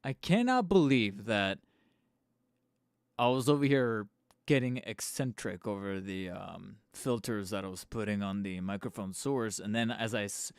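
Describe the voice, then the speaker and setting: A man hosting a live stream from home on a studio microphone, a little hoarse and tired, rambling casually with fillers and restarts and poking fun at himself. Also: on the low side, animated, measured pace, no room sound. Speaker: channel host streaming live. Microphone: studio microphone close up.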